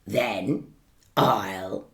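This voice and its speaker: A woman voicing a hungry, falsely polite male wolf in a children's story, with a low, slow, growly drawl. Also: vocal fry, a bit bright, full character voice. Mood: menacing.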